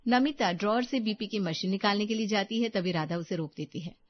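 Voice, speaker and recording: A woman's voice: medium pitch, brisk, highs cut off. A woman narrating a scripted Hindi family story as a storyteller.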